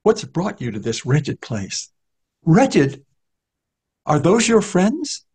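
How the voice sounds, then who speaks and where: A man voicing a calm male traveler who asks polite, persistent questions. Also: on the high side, takes it slowly, breathy edge, pitched above the performer's own voice, full character voice. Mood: questioning.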